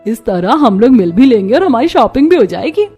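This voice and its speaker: A woman's voice: fairly high pitch, big pitch swings, fairly quick, warm tone, husky, soft background music. A woman voicing a cheerful, friendly housewife in a cartoon. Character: cheerful friendly housewives chatting between kitchen windows. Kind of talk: cartoon voice acting.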